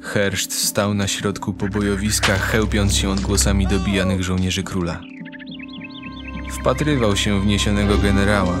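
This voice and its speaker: A man delivers dramatic fantasy narration as a storyteller. His voice is low. Loud music runs behind the scripted read.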